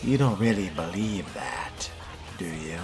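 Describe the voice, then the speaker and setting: Music plays behind a low-voiced man acting as a skeptical young vampire in a game. His delivery is slow and dry, with sardonic swings up and down. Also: animated, gravelly, shouting. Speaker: player voicing his game character. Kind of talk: role-play dubbing of game dialogue. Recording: background music under the voice.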